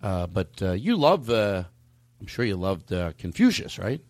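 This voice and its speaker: A man's voice: deep voice, big pitch swings, unhurried, a little mellow, dry recording. A man hosting a comedy podcast, joking and riffing through bits. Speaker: podcast host. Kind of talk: casual comedy chat.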